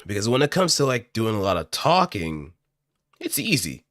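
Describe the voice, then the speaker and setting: Male amateur voice actor, low and animated, informal rambling chat, dry close-mic sound. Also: normal speed, dry recording. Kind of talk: casual solo chat while practicing voice lines. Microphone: close studio microphone through an audio interface.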